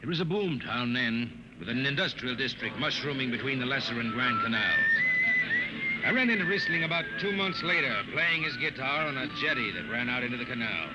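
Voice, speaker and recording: A cynical, blunt spaceman narrator, as a man plays him in an old radio drama speaks with lively swings in pitch. Loud orchestral music plays behind him. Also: a little fast, muffled recording, natural voice. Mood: narrating. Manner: blunt, gruff, sardonic. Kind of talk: 1950s radio drama acting.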